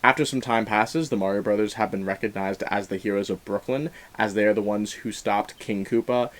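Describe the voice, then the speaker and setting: A man's voice: lowish voice, fairly even intonation, fairly quick. A man giving a casual, opinionated film review, leaning emphatically on key words in an earnest way. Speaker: film review show host.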